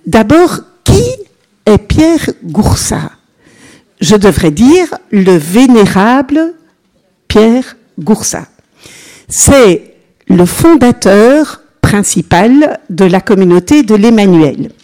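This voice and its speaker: Slow-speaking older Belgian woman. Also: mid-range voice, big pitch swings, warm tone. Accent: Belgian French. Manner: chatty, unhurried, peppered with "voilà", engages listeners. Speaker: consecrated religious sister giving a teaching. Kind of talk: informal religious teaching to a group.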